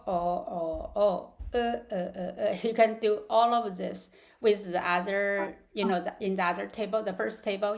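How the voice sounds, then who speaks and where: A woman teaching a live online class, speaking haltingly with many fillers and drilling syllables aloud. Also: medium pitch, gravelly. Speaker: language teacher. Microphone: video call.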